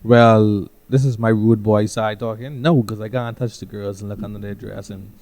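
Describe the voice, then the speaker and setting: A man's voice: lowish voice, fairly even intonation, moderate pace, darkish tone. A man from the Bahamas co-hosting a casual podcast chat, loose and crude in his joking banter. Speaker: podcast co-host.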